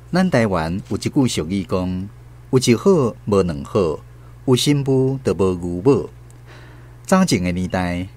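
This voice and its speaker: A man's voice: medium pitch, a little mellow. A man with a Taiwanese accent hosting and narrating a scripted radio drama.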